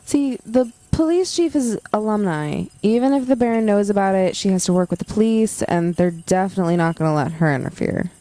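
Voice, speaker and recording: A woman's voice: medium pitch, expressive, fairly quick, few pauses, dark tone, close to an everyday voice. A woman playing a keen, friendly young campus journalist in a naturalistic audio drama, conversational and earnest. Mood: concerned.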